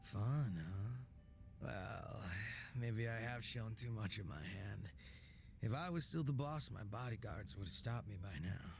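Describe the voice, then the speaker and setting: An actor playing a cocky, laid-back former gang boss in a video game, teasing and sardonic with a languid drawl and casual swearing. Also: male voice, on the low side, measured pace, gravelly, a little hiss or hum. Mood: tipsy, loose. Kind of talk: English video game voice acting.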